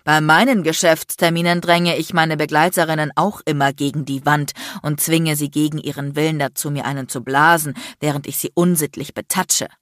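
An icy, domineering man in an audiobook drama, acted out by a woman. He is furious and sarcastic, hissing and barking threats in a lowish voice with a dry, close sound. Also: fairly even intonation, moderate pace, hardly any pauses, dry recording. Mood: outraged, sarcastic. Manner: shouting, biting, threatening, crude. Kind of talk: dramatic audiobook character acting.

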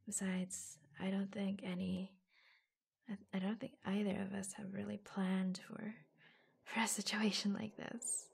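A woman playing an affectionate, reassuring secretary in a soft-spoken ASMR roleplay, gently hesitant and often dropping into a whisper. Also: medium pitch, little pitch movement, creaky, slightly rough, heavily acted. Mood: tender, wistful. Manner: gentle, hesitant, pausing between short phrases.

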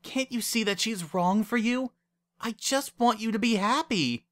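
A man's voice: sits high, expressive, a little slow, fairly bright tone, voice pushed up. A man voicing an earnest, worried young female pony who pleads urgently, in a story reading. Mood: urgent, insistent.

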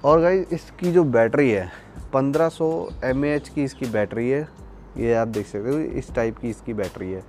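A man's voice: mid-range voice, normal speed, a little mellow, slight background noise. A young man gives a casual, explanatory product review in a conversational way, mixing in English words. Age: young adult. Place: outdoors, terrace and courtyard.

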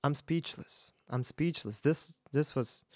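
A man in his thirties giving casual, expressive reaction commentary as a vocal coach, speaking emphatically into a close studio mic. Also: fairly high pitch, slow, no echo. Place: small indoor home studio. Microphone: large studio mic up close, headphones on.

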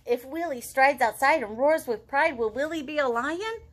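High-pitched, animated woman reading to children. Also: normal speed. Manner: expressive, questioning, drawing young listeners in. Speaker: children's librarian and storytime host. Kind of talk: animated picture-book read-aloud for young children. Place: living room at home.

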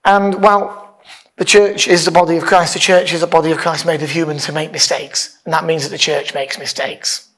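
A man's voice: on the high side, level tone, fairly quick, fairly bright tone. Earnest male pastor. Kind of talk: informal sermon, partly reading aloud. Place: church hall, speaking from a lectern on stage.

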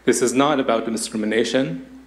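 A man's voice: medium pitch, fairly quick. A man in his thirties from Israel reading a prepared statement as a public commenter. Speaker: public commenter at council meeting. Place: public meeting room. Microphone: podium microphone. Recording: roomy meeting chamber echo.

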